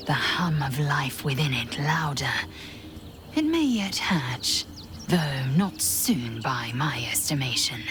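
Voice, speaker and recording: Very low, breathy alien warrior woman. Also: full character voice. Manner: clipped, commanding, formal. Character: stern, proud githyanki warrior woman, curt and guarded. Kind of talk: video game character acting. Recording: faint music behind the voice.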